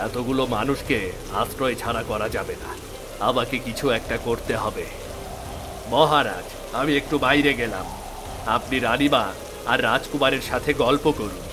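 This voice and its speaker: A man's voice: medium pitch, moderate pace, background noise, close to an everyday voice. A man voicing a kindly cartoon royal minister, speaking with earnest concern. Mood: worried, earnest. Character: kindly, caring royal minister of fairy-tale kingdom.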